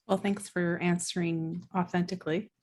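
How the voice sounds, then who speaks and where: A woman's voice: fairly low pitch, flat delivery, moderate pace, a little mellow. A woman hosting a podcast, asking questions in an encouraging, loosely hesitant way. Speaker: podcast host. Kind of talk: casual interview conversation.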